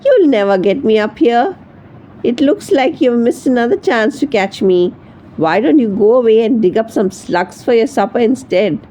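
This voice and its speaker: A woman's voice: fairly high pitch, animated, moderate pace, warm tone, poor audio. A woman voicing a cheeky, merry little red hen with a teasing manner in a storybook read-aloud. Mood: merry, taunting. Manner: cackling, teasing.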